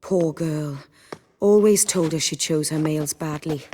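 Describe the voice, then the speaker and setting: A woman in a video game playing a worldly, self-assured aristocratic lady who speaks in measured, elegant, formal sentences. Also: low-pitched, dark tone, a little hiss or hum. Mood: cool composure.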